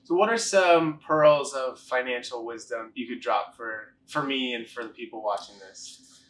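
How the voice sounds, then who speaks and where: A man's voice: mid-range voice, a little slow, fairly bright tone. A man with a mid-range voice hosts a casual interview. He is encouraging and often drops in short affirmations. Faint background noise sits behind his voice. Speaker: interviewer from a health practice.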